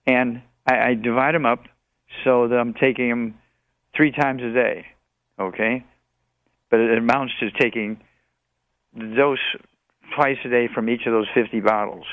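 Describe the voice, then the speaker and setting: Radio guest, male, 80s: gravelly, rambling list-maker, thin telephone sound. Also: mid-range voice, fairly even intonation, measured pace, long pauses, dry recording. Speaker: guest health supplement promoter. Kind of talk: radio call-in question and answer. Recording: narrow phone-like line.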